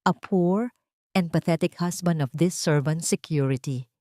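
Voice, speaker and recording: A woman's voice: lowish voice, unhurried, mellow, no room sound, lightly acted. Woman playing a cruel rich villainess, haughty, scornful, commanding, dry sound, dramatic audio story. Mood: scornful fury. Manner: scornful, imperious.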